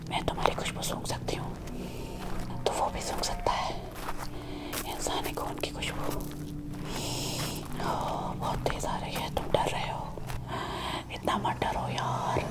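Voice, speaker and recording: A woman whispering as a protective, centuries-old female vampire girlfriend in an ASMR roleplay, hushed and intimate, close to a binaural mic. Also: natural voice.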